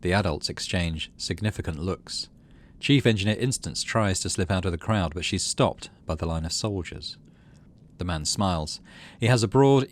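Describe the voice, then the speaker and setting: A man reading a game's story script aloud as expressive narration for a gaming channel. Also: low-pitched.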